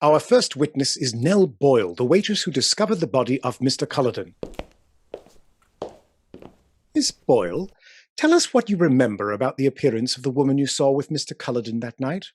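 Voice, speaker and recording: Aggressive inspector, courtroom radio drama, formal, lively intonation, dry recording. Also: male voice, mid-range voice, a little fast, slightly rough, no echo. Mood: formal, confident. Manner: formal, pressing, theatrical. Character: aggressive, self-assured male police inspector prosecuting in court. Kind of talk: period radio play acting, courtroom questioning.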